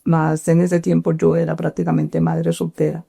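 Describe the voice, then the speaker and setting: A middle-aged woman from Cali, Colombia, with a Colombian accent, telling her life story in an interview, halting with many fillers and restarts. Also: low-pitched, monotone, vocal fry, dark tone, breathy edge. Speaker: interview guest.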